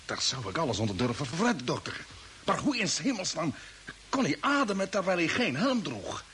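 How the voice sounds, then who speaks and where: An actor playing an earnest space expedition commander in a science-fiction radio drama, talking in a questioning, hesitant way. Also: male voice, on the high side, sing-song, fairly quick, a bit bright. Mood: puzzled. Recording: hissy old radio drama recording.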